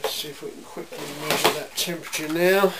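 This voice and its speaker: A man giving an informal, matter-of-fact hands-on demonstration in a workshop, speaking in a measured way. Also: fairly high pitch, fairly even intonation, sharp tone. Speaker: welding demonstrator. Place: indoor cluttered workshop. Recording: hissy, noisy amateur camera sound.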